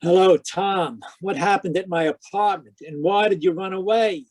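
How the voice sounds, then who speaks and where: An actor playing a wry, deadpan private detective, talking and narrating in an old-time radio drama. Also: male voice, high voice, normal speed, no room sound, low-quality recording. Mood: questioning, wry. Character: wry hard-boiled private detective, Sam Spade. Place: live online performance over video call.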